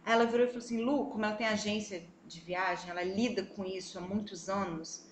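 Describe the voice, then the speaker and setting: A woman from Brazil with a Brazilian Portuguese accent, a travel vlogger telling her story in a casual, animated way and talking straight to her viewers. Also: medium pitch, quick pace, a little hiss or hum. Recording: echoey room, faint ambience.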